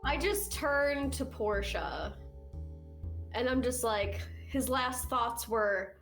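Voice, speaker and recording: A woman player questioning a character in a deliberate, probing way. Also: a little high, animated, a bit bright, soft background music. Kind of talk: in-character interrogation at a game table.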